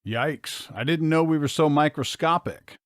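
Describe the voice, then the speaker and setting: A man's voice: fairly high pitch, unhurried, no echo, clean studio sound, natural voice. A man reading as a playful small-town charmer. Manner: teasing, easygoing.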